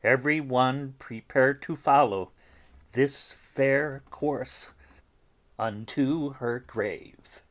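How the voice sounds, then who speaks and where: A man playing a stern, pious friar in a dramatic Shakespeare audiobook, sermonizing deliberately with long pauses. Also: mid-range voice, a bit bright. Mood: grave commanding. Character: stern, pious friar admonishing and consoling mourners.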